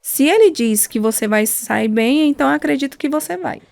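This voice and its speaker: A woman's voice: high voice, animated, normal speed, vocal fry, darkish tone, dry recording. An actress playing a devout, weary, caring mother in a radio drama. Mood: encouraging.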